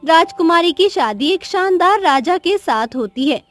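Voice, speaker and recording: A woman narrating a cartoon story in a steady, clear storytelling manner. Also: extremely high pitch, a little slow, faint music behind.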